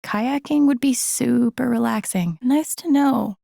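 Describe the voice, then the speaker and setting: Video game lead: wry young woman, empathetic amateur sleuth, casual inner monologue, creaky voice, very dry close recording. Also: a little high, a little slow, warm tone, dry recording, full character voice. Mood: wry, musing. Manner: casual, muttering to herself, dry humor.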